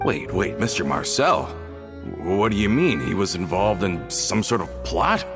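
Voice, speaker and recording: A man's voice: sits low, sing-song, measured pace, music in the background. A man voicing a loyal male guild member just back home, in a game cutscene, talking in an earnest, easily shaken way. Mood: puzzled.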